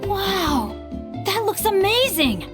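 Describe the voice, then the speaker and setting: A woman's voice: very high-pitched, very animated, takes it slowly, music in the background, heavily acted. A woman voicing a cheerful, boyish young adventurer in a fantasy video game, light and earnest in manner. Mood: excited. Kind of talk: English video game voice acting.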